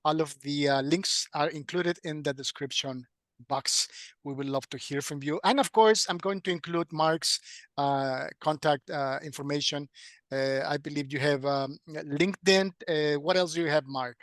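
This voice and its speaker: Higher-voiced male podcast host, halting delivery. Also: a bit bright, no echo, studio quality. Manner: halting, hesitant delivery with repeated words. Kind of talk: hosting an online interview.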